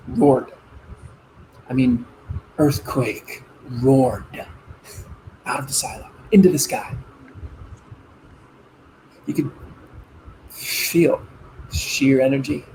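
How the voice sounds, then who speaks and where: An actor playing a proud young missile officer in a stage play, explaining earnestly with dramatic pauses. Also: male voice, medium pitch, a little mellow, slight background noise, rough recording. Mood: awed, excited. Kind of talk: conversational stage-play dialogue.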